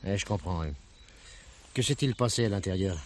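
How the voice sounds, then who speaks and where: An actor playing a brisk, methodical gendarme chief in a French radio crime drama, questioning and reporting in an official, matter-of-fact way. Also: male voice, deep voice, a little hiss or hum, natural voice. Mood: questioning, businesslike.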